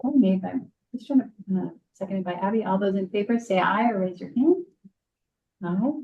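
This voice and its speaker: A woman's voice: mid-range voice, gravelly. Woman chairing a meeting, dull audio. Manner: procedural, brisk.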